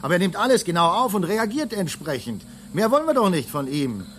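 An actor playing a patient, pragmatic space engineer in a radio drama, conversational and indulgent. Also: male voice, sits high, sing-song, a little fast, a bit bright, a little hiss or hum. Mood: brisk, unworried. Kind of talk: naturalistic radio drama acting.

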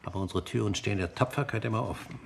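An actor playing a courteous, shrewd older father in a radio drama, speaking in a genteel, deliberate way. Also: fairly low pitch, gravelly, slight background noise. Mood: shrewd, genial.

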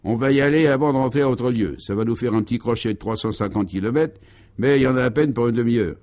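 A man's voice: mid-range voice, fast, darkish tone, lightly acted. Man acting male driver, sententious, assured, matter-of-fact, comic radio serial. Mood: enthusiastic. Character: assured, sententious male driver explaining absurd local customs.

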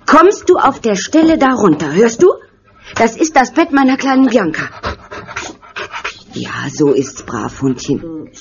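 A woman's voice: on the high side, sing-song, measured pace, long pauses. An actress playing a bewildered, wistful mother in an audio drama, coaxing and pleading. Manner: coaxing, searching. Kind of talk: horror audio drama acting. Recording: dull, noisy old audio play.

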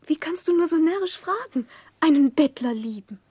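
A very high-voiced young fiancée from a vintage radio drama. She is childish and easily frightened, pouting and pleading like a spoiled girl. The sound is close and dry. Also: lively intonation, moderate pace, mellow, no echo. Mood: playful scolding. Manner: girlish, pouting, whiny pleading. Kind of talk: 1960s German radio drama acting.

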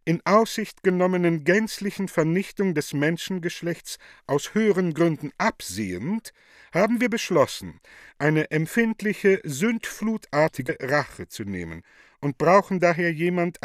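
A man's voice: a little high, very animated, measured pace, dry recording, studio quality. A man playing an affected, haughty, coaxing woman in a theatrical radio play, mannered and gushing. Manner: mannered and gushing, with French interjections.

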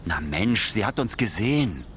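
An actor playing a simple, plain-spoken accomplice in a radio crime comedy, blunt, doubtful and full of questions. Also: male voice, on the low side, sing-song, moderate pace, fairly bright tone, slight background noise. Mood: uneasy, insistent. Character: wary, simple-minded male accomplice, plain-spoken and greedy.

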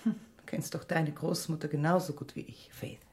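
Low-voiced, closed-off middle-aged mother played by an actress. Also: steady tone, normal speed, darkish tone, natural voice. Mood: bitter, defensive. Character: closed-off middle-aged mother, former refugee, burying her past. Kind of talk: dramatic radio play dialogue.

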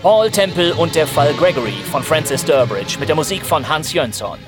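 Actor as male narrator recapping a detective radio drama, factual, loud music behind him. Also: a little high, lively intonation, moderate pace, natural voice. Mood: factual, suspenseful. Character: matter-of-fact narrator of a detective radio play. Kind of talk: scripted crime story recap narration.

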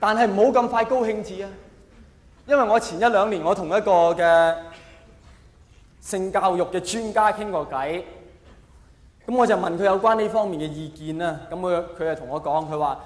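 A man's voice: high voice, normal speed, pauses often. A middle-aged man with a Hong Kong accent performing a theatrical, mock-earnest stand-up comedy monologue. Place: large hall, live stage performance. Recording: echoey hall reverb, faint background noise.

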